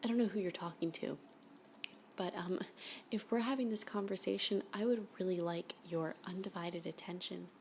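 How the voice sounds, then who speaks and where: Casting: actress as smitten, unhinged stalker woman for ASMR roleplay; lowish voice with vocal fry, coy hesitant rambling, intimate half-whispers. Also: steady tone, moderate pace, a little mellow, a little hiss or hum, natural voice. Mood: jealous, insistent. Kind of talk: ASMR roleplay, intimate one-sided dialogue.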